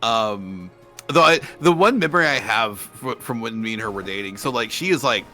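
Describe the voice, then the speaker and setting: Man from rural Oklahoma, bright forceful voice, stumbling restarts, chatty anecdotes, light music behind. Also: fairly low pitch, normal speed. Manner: stammering, restarting sentences, animated anecdotes. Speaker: guest co-streamer. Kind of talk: casual stream chat. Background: faint background music.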